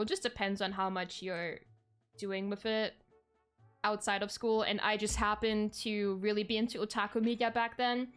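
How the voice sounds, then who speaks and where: A woman's voice: mid-range voice, a bit bright, smooth. A young woman from Germany, chatting casually with her stream viewers, rambling with frequent ums and self-corrections.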